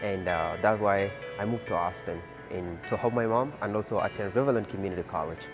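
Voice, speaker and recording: A young man from Ethiopia, a student leader, telling his story earnestly with many fillers. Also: lowish voice. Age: young adult. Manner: earnest, halting with frequent fillers. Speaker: community college student leader. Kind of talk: personal interview testimony. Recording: music bed under the voice.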